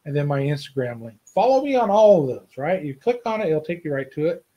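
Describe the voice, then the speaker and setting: A man's voice: a little high, big pitch swings, fairly quick, lo-fi sound. A man, chatting to camera from a small home office and often checking in with "right?" and "okay?". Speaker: outdoors vlogger. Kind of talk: casual to-camera vlog. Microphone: desk camera, head and shoulders.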